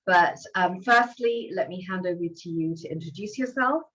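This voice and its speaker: Low-voiced woman hosting, lo-fi sound. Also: moderate pace, a bit bright. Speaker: podcast host. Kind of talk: hosting an interview.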